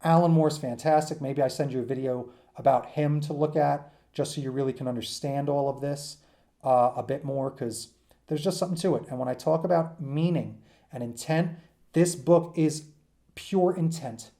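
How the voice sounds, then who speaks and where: A man's voice: fairly high pitch, moderate pace, dark tone. A man giving an informal lecture to students in an enthusiastic, emphatic, rambling conversational way, as their teacher.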